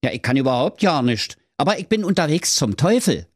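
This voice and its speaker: A brash, squeaky Berlin rascal. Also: male voice, very animated, fairly quick, dry recording, studio quality. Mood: cheeky. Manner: brash, cheerful, slangy. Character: cheeky cocky Berlin man on a quest.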